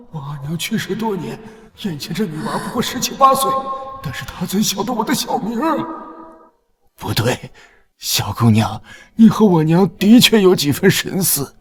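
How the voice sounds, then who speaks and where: Casting: frail elderly man for historical drama, wistful, highish pitch, very animated. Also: in a large hall. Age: older. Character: frail, emotional old man yearning for his mother. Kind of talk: Chinese period drama acting.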